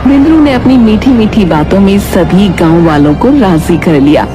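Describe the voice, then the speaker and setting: A woman's voice: mid-range voice, lively intonation, normal speed. A woman giving scripted narration for a children's moral cartoon story. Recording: music behind the voice.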